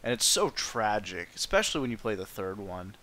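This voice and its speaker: A man's voice: on the low side, sing-song, fairly quick, pushed and bright. A man giving rambling, informal playthrough commentary as a game streamer, with game music behind him.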